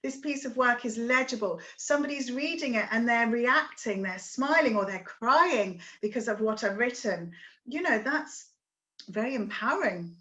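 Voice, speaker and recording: A woman speaking as a guest education expert in an interview, passionate and expressive, often checking in with a quick "right?". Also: on the high side, low-quality recording. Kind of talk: conversational interview.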